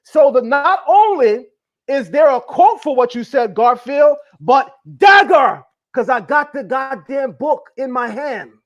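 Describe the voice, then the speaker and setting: A man's voice: very high voice, animated, a little slow, lo-fi sound. A man hosting a heated live debate, emphatic and boastful, repeating himself as he shows his sources. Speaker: host.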